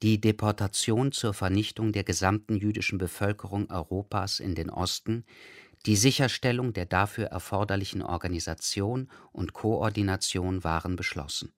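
A man's voice: fairly low pitch, fairly even intonation, normal speed, no echo, studio quality. A man introducing a radio play with sober, factual scripted narration.

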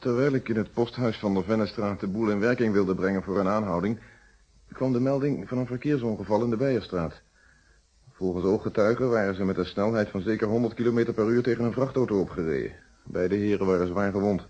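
An actor playing a steady, reflective police inspector recounting his case in a Dutch radio drama, with a Netherlands accent and measured, polite delivery. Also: lowish voice, breathy edge, muffled recording. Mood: matter-of-fact. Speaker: actor in a detective radio play.

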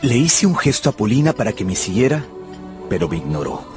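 A man acts an earnest, lovestruck young narrator in a radio drama. His mid-pitched voice moves expressively up and down over clearly audible music. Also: big pitch swings, a little fast, lightly acted. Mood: wistful narration. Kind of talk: first-person radio drama narration and dialogue.

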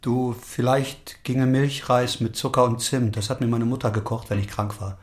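An actor playing a gentle, harmless, sheltered city man in a German audio drama, hesitant and self-deprecating. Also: mid-range voice, little pitch movement, moderate pace, lightly acted. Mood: wry, rueful.

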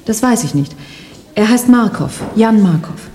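An actress plays a worried, persistent girlfriend in a radio play. Her voice is mellow and of medium pitch. She is polite and composed, with a skeptical, questioning manner. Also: expressive, a little slow, lightly acted. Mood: polite, reserved. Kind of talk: 1990s radio drama acting.

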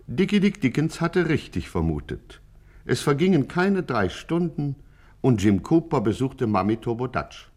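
An actor as the wry, theatrical storyteller of a scripted radio crime satire. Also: male voice, medium pitch, big pitch swings, measured pace, a little mellow, soft background music. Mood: satisfied, announcing. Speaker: narrator. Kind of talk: scripted radio play narration, 1950s.

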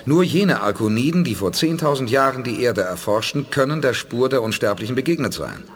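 An actor playing a calm, decisive male spaceship commander who reasons things through in a measured way, in a German radio play. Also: mid-range voice, slightly rough. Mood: steady. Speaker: lead character.

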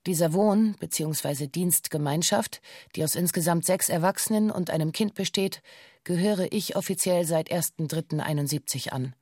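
An actress playing a female witness in a radio play, reciting a police statement in a stiff, measured, matter-of-fact way. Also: lowish voice, a little mellow, dry recording, clean studio sound, lightly acted. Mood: formal, composed. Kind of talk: radio play, formal recited testimony in bureaucratic German.

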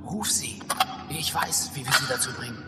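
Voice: medium pitch, moderate pace, in a large hall, over loud music, natural voice. A performer playing a young, inventive child gamer in a radio drama. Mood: eager, casual.

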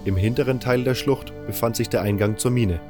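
A man's voice: medium pitch, a little fast. A man reading scripted first-person narration for an audio drama. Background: music.

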